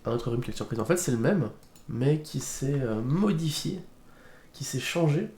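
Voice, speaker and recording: A man's voice: mid-range voice, animated, normal speed, warm tone, echoey room. A man streamer with a head cold, chatting casually on a live stream and rambling with lots of hesitations. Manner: rambling, hesitant, with many fillers. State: congested with a cold.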